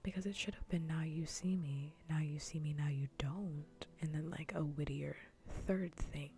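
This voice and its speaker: A woman with a fairly low voice hosts a casual ASMR livestream. She speaks softly and breathily, mostly in a whisper. Her talk is informal and rambling, with phrases repeated for emphasis. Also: fairly even intonation, moderate pace. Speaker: livestream host. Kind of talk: soft-spoken ASMR casual livestream chat.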